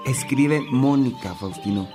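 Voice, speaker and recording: A man's voice: medium pitch, measured pace, over music, close to an everyday voice. A man acting a genial priest and old companion who likes reminiscing, in a religious radio drama. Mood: instructive, genial.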